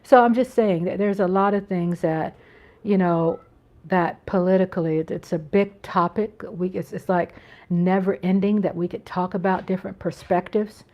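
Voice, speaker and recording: A woman's voice: lowish voice, steady tone, moderate pace, dark tone. An American woman hosting a casual live-stream chat from home, talking in an earnest, rambling way with frequent restarts. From United States. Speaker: channel host.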